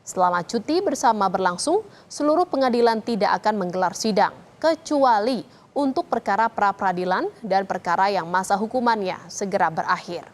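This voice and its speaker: A woman's voice: medium pitch, animated, brisk. A woman news anchor reading a scripted news report.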